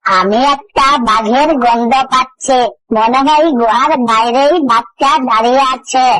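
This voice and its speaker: A woman's voice: on the high side, unhurried, fairly bright tone, low-quality recording. A woman voicing an anxious, obedient yet cunning mother fox in a cartoon fairy tale. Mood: scheming, pleading.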